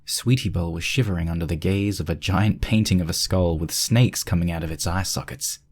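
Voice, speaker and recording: Low voiced guy narrating a story, scripted read. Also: a little fast, a little mellow.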